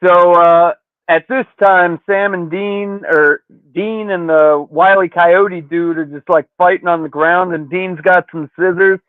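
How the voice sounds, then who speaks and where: A man's voice: sits high, fairly even intonation, measured pace, smooth, no echo. A man hosting a podcast, casually recapping a story in slangy, profane language, with thin phone-like sound. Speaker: podcast host.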